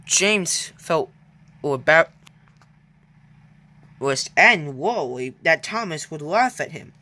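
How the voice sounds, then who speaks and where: Children's story narrator, halting reading, slow pace, bright tone, expressive pitch swings.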